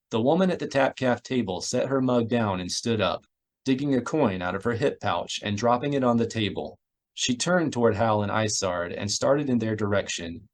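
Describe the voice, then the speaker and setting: Expressive male audiobook narrator. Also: fairly low pitch. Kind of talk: scripted narration of a sci-fi novel, with character voices.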